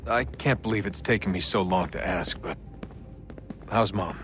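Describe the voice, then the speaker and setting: A man's voice: sits low, moderate pace, over music, lightly acted. An actor playing a dry, sardonic young man in a game cutscene. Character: wry young adult male assassin descendant. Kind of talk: video game cutscene acting.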